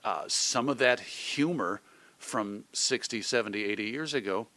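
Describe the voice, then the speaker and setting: Bright-voiced middle-aged American man. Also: lowish voice, measured pace, no room sound. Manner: reflective, articulate. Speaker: interview guest, radio host and editor. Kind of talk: thoughtful conversational interview.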